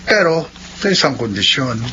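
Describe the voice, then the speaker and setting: A man's voice: mid-range voice, big pitch swings, moderate pace, sharp tone. A man with a Filipino accent playing a cocky, determined young suitor in a radio drama. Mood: coaxing. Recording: muffled lo-fi radio audio, clear noise.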